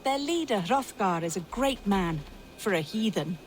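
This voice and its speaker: A woman's voice: mid-range voice, lively intonation, normal speed, a little hiss or hum, natural voice. An actress voicing an earnest, grave Saxon woman in a video game, speaking formally. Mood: assured. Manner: grave, formal archaic phrasing. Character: earnest Saxon woman wary of wicked beasts. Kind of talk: dramatic video game acting.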